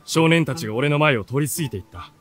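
An actor playing an earnest seventeen-year-old bookish runaway who narrates and speaks in a radio drama. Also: male voice, on the high side, fast talker, faint music behind. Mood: curious narrating. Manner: expressive, earnest storytelling.

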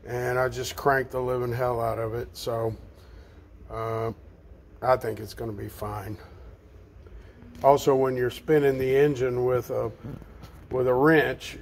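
A man talks through his work in a home garage at an unhurried pace, pausing often with fillers as he goes. His voice sits in a middle pitch. He stays off-screen, speaking to a handheld camera. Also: little pitch movement, a little mellow, slight background noise. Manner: slow, halting, with frequent fillers. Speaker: home mechanic vlogger. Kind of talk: casual narration.

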